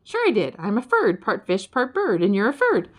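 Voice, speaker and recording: A woman voicing an excitable, stubborn male furry bird-fish creature in a storybook, given to exclaiming. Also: mid-range voice, moderate pace, pitched above the performer's own voice. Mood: gleeful, insistent. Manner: exclamatory, yelling when excited.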